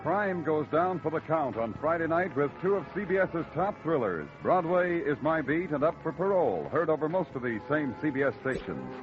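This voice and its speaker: Male network announcer, punchy promo read, music behind, old radio sound. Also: on the high side. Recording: dull lo-fi 1950 radio transcription with music.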